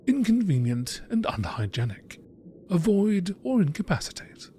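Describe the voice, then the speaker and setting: A man reading scripted nature documentary narration in a measured, expository manner. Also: high voice, big pitch swings, warm tone, a little hiss or hum. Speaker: narrator reading game text.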